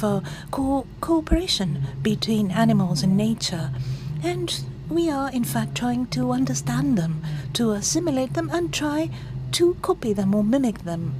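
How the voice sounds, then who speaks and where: A woman at mid pitch interpreting a conference talk as it happens, stopping often with fillers to catch up. Her voice comes over an interpretation feed with clear background noise. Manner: halting, frequent pauses and fillers while interpreting.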